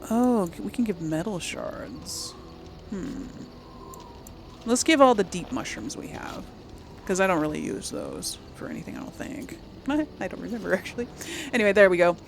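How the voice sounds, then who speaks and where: A woman's voice: medium pitch, very animated, normal speed, vocal fry, faint music behind. A woman hosting a let's play, chatting casually to her viewers in an informal, playful way. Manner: chatty, addresses viewers, giggly asides. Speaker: gaming video host.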